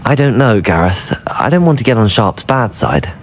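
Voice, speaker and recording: A man's voice: lowish voice, sing-song, normal speed, a little hiss or hum. A man voicing a polite, level-headed teenage student in a video game, earnest, with a British accent. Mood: guarded, polite. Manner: courteous, earnest. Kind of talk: video game character acting.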